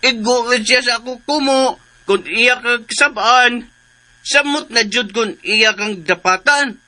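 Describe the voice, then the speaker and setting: A simple-minded, childlike younger brother for Cebuano radio drama. The voice is extremely high and piercingly bright, with slow, halting, repetitive phrases. He sounds innocent, excitable and stubbornly devoted. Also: exaggerated voice. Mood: innocent, earnest. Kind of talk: Filipino radio drama acting.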